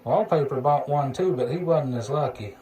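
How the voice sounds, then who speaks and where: A man's voice: a little high, normal speed. Man chatting casually over a noisy recording. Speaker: CB radio hobbyist demonstrating a repaired radio. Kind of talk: casual radio chat and demonstration. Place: indoor electronics workbench. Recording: clearly noisy workbench recording, background hiss.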